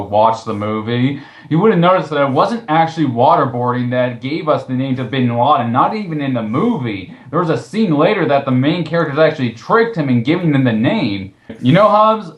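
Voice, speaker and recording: Male film-review host, sarcastic casual delivery, lively intonation, echoey home sound. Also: medium pitch, lo-fi sound. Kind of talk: casual comedic film commentary. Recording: roomy, echoing home recording.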